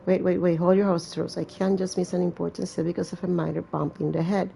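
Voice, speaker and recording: A woman's voice: on the low side, little pitch movement, fast talker, gravelly, mellow, a little hiss or hum. A woman reading a visual novel aloud as a gamer, with casual, irreverent and sweary asides. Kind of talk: let's-play reading with casual commentary.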